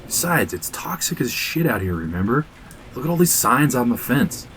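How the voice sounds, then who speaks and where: A man's voice: mid-range voice, big pitch swings, moderate pace, fairly bright tone, slight background noise. An actor playing a cautious, worried young man in an audio drama, slangy, skeptical and sarcastic. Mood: worried, pleading. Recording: clear outdoor ambience, crickets behind.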